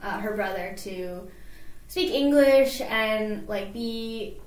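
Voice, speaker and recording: A woman's voice: mid-range voice, slow. A young woman from California chats easily as an interview guest, her answers loose and full of "like"s and little fillers. Her voice sits in a middle register, and the recording carries a noticeable room echo. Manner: chatty, many "like"s and fillers. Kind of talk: casual interview chat.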